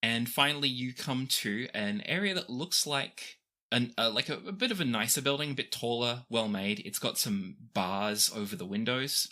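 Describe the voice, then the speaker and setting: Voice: mid-range voice, moderate pace, pushed and bright. A game master narrating a tabletop adventure in a descriptive, conversational way.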